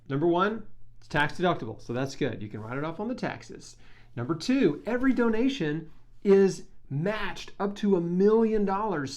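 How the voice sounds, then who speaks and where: A man's voice: fairly high pitch, darkish tone. A man talking casually to camera as a vlogger making a charity appeal, with an informal, enthusiastic and earnest manner. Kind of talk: casual talk to camera, fundraising pitch.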